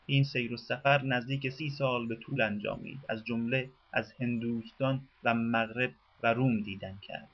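Man narrating in formal, measured tones. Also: mid-range voice, lo-fi sound. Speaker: radio narrator. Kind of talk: scripted documentary narration.

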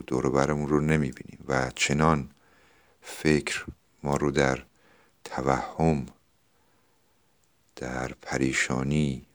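Very deep-voiced man. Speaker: podcast host explaining classical poetry. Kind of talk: poetry recitation and informal explanatory talk.

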